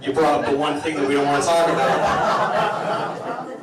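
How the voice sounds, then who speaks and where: A man hosting a live comedy show, telling stories in a halting, rambling way with stammered restarts. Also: a little high, animated, over a noisy crowd. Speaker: host of a comedy town hall show. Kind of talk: stand-up comedy monologue to a live audience. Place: indoor live comedy venue with audience. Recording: roomy, distant, noisy live venue recording.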